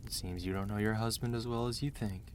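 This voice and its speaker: A male actor plays a mafia boss husband with a fairly low voice, devoted and protective. He talks close and intimately in an ASMR romance roleplay, earnest and measured, firm yet gentle with his beloved. A faint noise sits behind the voice. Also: steady tone, lightly acted. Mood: wry, affectionate.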